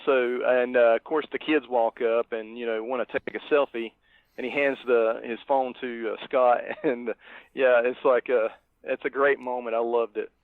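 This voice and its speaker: A man co-hosting a podcast, talking casually about movies in a halting, reflective way. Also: medium pitch, steady tone, dry recording. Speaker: podcast co-host. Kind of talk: casual movie review chat. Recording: thin phone-like line, dry.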